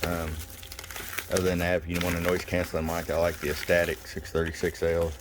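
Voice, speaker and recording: A man giving a casual, hands-on product review in a folksy, unhurried way with pauses. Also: deep voice, monotone. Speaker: shop owner presenting product. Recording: clear background noise and room ambience. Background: background noise.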